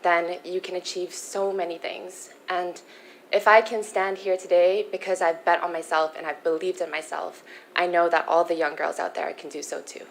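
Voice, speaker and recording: A young woman from the Philippines, a pageant contestant answering interview questions in a poised, earnest, measured way. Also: lowish voice, flat delivery, vocal fry, slight background noise, low-quality recording. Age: young adult.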